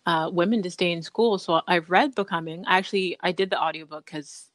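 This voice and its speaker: A woman's voice: lowish voice, brisk, dry recording. A woman hosting an interview, gushing and encouraging, laughing often. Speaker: show host.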